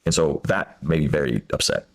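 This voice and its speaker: A man's voice: a bass voice, big pitch swings, gravelly. A man whose first language is Russian, telling a personal story earnestly on a podcast, with frequent restarts. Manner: rapid, earnest, with many restarts. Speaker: podcast guest.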